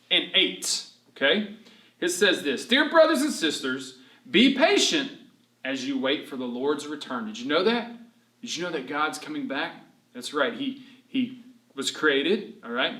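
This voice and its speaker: An echoey, somewhat distant recording of a man reading to children. His voice is high and he speaks in an animated, encouraging way. He poses simple questions and answers them himself, like a teacher leading young listeners along. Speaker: children's ministry storyteller. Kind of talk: read-aloud story lesson for children. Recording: roomy echo, distant microphone.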